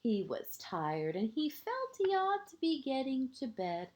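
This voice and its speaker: A woman with a soft-edged, mellow voice tells a story to small children at an unhurried pace. She is gentle and expressive, leaves space between short phrases, and often lets the ends drop toward a hush. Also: high voice, a little hiss or hum. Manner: deliberate pauses, short phrases, asides to young listeners. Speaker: kindergarten teacher reading a picture book. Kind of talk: read-aloud storytelling for young children.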